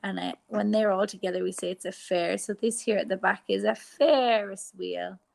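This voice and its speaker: An Irish woman teacher giving a phonics lesson to young children, sounding out letters slowly and clearly. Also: mid-range voice, fairly even intonation, darkish tone. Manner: slow, exaggerated sounding out of letters.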